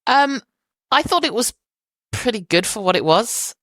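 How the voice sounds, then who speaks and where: A woman's voice: a little high, expressive, unhurried, no room sound, clean studio sound. A woman guest on a podcast in casual chat, thoughtful and hedging, laughing easily.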